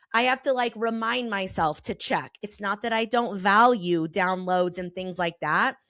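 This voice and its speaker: Hoarse-ish 40s woman doing her podcast, candid, says y'all, swears a bit, mid pitch, dry sound. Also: a bit bright, no echo. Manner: candid, emphatic, uses y'all and casual swearing. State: slightly hoarse. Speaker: podcast host and therapist. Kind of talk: personal podcast monologue. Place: Colorado.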